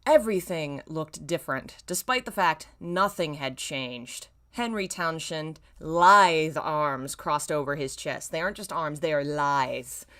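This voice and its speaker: Low-voiced woman reading with wry asides. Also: a little slow, few pauses, a bit bright. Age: 30s. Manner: self-mocking, wry, theatrical emphasis when reading. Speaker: streamer reading own old fan fiction.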